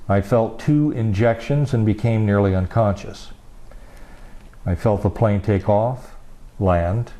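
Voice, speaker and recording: A man from the United States reading another person's first-person statement aloud, in a slow, grave, deliberate way. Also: on the low side, warm tone. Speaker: reader of a statement. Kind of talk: solemn measured reading.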